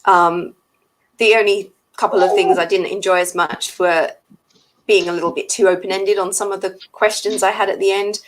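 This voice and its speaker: A woman's voice: medium pitch, steady tone, normal speed, low-quality recording. A woman co-hosting a book read-along, giving her views in a casual chat.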